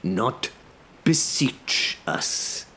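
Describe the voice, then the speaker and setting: A man voicing an unruffled knight who feigns confusion with dry, deliberate politeness in an audiobook. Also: on the low side, lively intonation, gravelly, slight background noise, pitched above the performer's own voice. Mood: feigned confusion.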